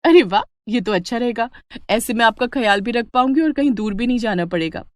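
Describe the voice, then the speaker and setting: A woman voicing a determined, hopeful young village daughter-in-law, earnest and expressive, in a moral story. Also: on the high side, brisk, no echo, studio quality, lightly acted. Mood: hopeful, excited. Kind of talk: dramatic Hindi moral-story voice acting.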